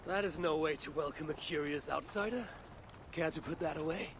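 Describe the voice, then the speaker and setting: A proud, battle-hardened deity in a video game cutscene, speaks with wry menace and the habit of command. Clear ambient noise runs behind the voice. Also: female voice, low voice, fairly quick. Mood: wry, taunting.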